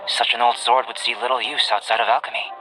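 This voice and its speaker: A man playing a calm, cerebral young male alchemist in a video game, with measured, formal, articulate delivery. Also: mid-range voice, heavy background noise, lightly acted. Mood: matter-of-fact. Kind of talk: anime-style video game voice acting. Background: game music.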